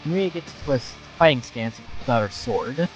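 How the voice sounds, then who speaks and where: A man's voice: medium pitch, lively intonation, moderate pace, dull audio. A man reading scripted story narration in the role of narrator. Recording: dry voice over background music.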